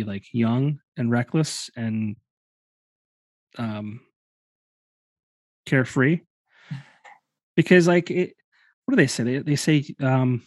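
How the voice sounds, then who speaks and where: A man's voice: medium pitch, no echo. A man in his late thirties hosting a podcast, musing casually with loose fillers. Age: 30s. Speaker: podcast host. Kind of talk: casual conversation.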